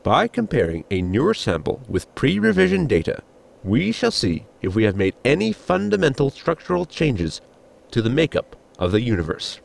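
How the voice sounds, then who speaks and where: A man playing a formal, pedantic science officer in a sci-fi comedy audio drama, with a gravelly voice and precise technical wording. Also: mid-range voice, wildly swooping pitch, moderate pace, full character voice. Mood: dry, formal.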